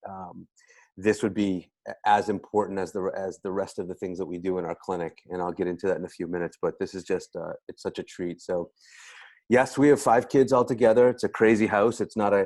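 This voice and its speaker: Casting: American male guest speaker, low register, loose conversational delivery with doubled-back phrases, dry room. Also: darkish tone, no echo. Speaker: guest speaker, spine clinic doctor. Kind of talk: casual testimonial talk to an online group.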